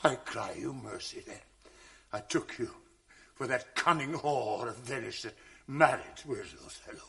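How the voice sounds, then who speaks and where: An actor playing a jealous, enraged general in a radio drama of classical verse, swinging from bitter mockery to fury. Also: male voice, medium pitch, unhurried, vocal fry. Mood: bitter mocking. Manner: bitter, mocking fury swinging soft to loud.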